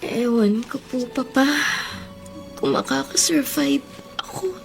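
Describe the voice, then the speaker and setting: Frail, creaky-voiced, tearful sick mother. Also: high voice, soft background music, exaggerated voice. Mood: weak, despairing. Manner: weary, halting, tearful delivery. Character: gravely ill, despairing young mother and daughter. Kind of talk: melodramatic radio drama acting.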